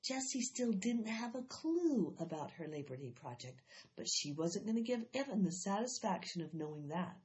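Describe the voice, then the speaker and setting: A woman reading a children's chapter book aloud in an expressive, animated way. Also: mid-range voice, normal speed, lo-fi sound. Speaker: storyteller.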